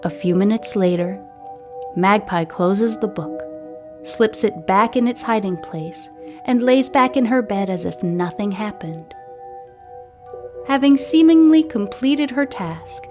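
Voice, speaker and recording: A woman's voice: mid-range voice, measured pace, music in the background. A woman hosting a story podcast, reading scripted fiction narration.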